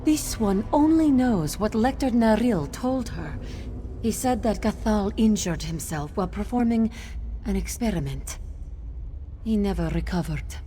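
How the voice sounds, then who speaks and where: A woman voicing a gentle, modest cat-folk fungus grower in a video game, speaking in a measured, matter-of-fact way. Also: medium pitch, lively intonation, soft background music, close to an everyday voice. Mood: calm, informative. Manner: speaks of self in third person, measured. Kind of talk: video game character dialogue.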